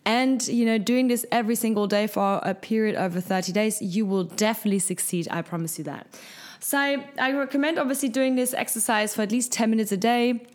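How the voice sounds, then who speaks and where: A woman hosting a casual solo podcast, her voice husky from lack of sleep, talking in an upbeat, encouraging, motivational way. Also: medium pitch, darkish tone. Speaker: podcast host. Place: hot top-floor apartment.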